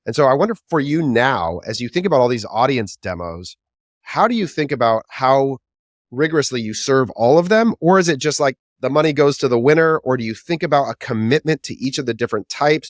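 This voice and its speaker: A man's voice: mid-range voice, dry recording, studio quality. A man hosting a business podcast, chatting loosely and building up to his questions. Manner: conversational, riffing on ideas before asking. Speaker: podcast host.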